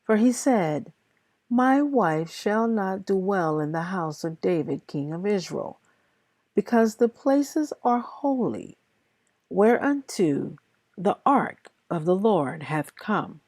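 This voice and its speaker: A woman who sounds a little tired, reading scripture aloud in measured, deliberate short phrases as the host of a daily reading. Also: mid-range voice, animated, a little mellow, dry recording. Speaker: Bible reader and host of a daily reading series. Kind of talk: scripture read aloud with brief casual introduction.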